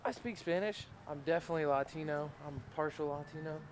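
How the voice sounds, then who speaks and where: A man's voice: on the high side, quick pace, a little hiss or hum. A young American man chatting casually and jokingly to camera with playful, boastful slang. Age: young adult. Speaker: vlogger. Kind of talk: casual joking vlog chat. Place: mostly inside a large party store.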